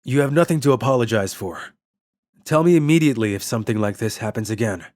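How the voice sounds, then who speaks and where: A man's voice: mid-range voice, expressive, quick pace, darkish tone, close to an everyday voice. An actor voicing a cool, stern young male CEO in a manga dub, blunt and commanding in manner. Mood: softened.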